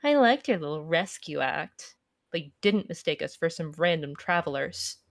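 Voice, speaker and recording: A woman's voice: low voice, lively intonation, moderate pace. A woman reading aloud as a wary, sardonic fugitive in a visual novel, with a dry, confrontational manner. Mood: wry, suspicious. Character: wary, sardonic fugitive who sizes people up. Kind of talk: amateur visual novel read-aloud acting.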